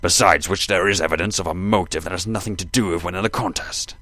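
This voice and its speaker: A man playing a gruff, pompous older man arguing combatively as defense in an amateur radio play. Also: low voice, fast talker, fairly bright tone, no room sound. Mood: accusing, triumphant.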